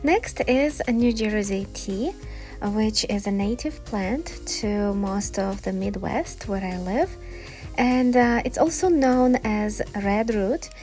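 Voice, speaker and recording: A woman's voice: medium pitch, dark tone. A woman with a Ukrainian accent, a native Ukrainian speaker, hosting an informal, explanatory gardening vlog with enthusiasm. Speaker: gardening video host.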